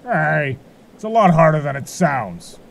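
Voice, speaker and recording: A man's voice: fairly high pitch, sing-song, a little slow, creaky, exaggerated voice. A man playing a folksy, laid-back but grumbling keeper of a magical marsh in an amateur audio drama. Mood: defensive.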